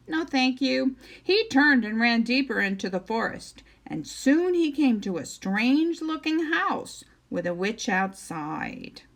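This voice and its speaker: A woman reads a children's story aloud as a storyteller. Her voice sits fairly high and moves expressively up and down. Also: a bit bright.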